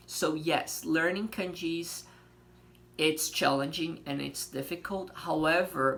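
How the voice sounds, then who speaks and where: Man, language teacher, gravelly voice, faint hum behind. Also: mid-range voice, fairly bright tone. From Brazil. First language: Portuguese. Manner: explains patiently with many fillers and check-ins. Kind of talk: casual video lesson.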